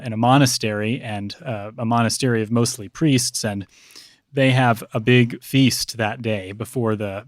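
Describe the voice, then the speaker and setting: A man speaking as a priest guest on a podcast, explaining customs in a measured, halting, conversational way. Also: on the low side, no echo, studio quality.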